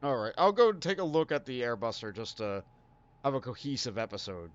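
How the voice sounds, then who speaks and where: A man's voice: mid-range voice, sing-song, brisk, lo-fi sound. A young man hosting a casual gaming stream, rambling and laughing often as he plays. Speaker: streamer host.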